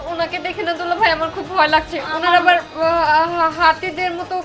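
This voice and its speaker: A woman's voice: very high-pitched, level tone, a little fast, a crowd in the background. A young woman playing a nervous, polite city sister-in-law in a comic short film. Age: young adult. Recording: music and other voices behind.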